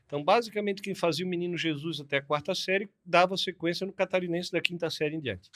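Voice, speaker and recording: A man's voice: sits high, fast talker, a bit bright. A man in his fifties from Florianópolis with a southern Brazilian accent, a plastic surgeon telling his story in a laid-back, chatty way as a podcast guest. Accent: southern Brazilian Portuguese. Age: 50s. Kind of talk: casual interview storytelling.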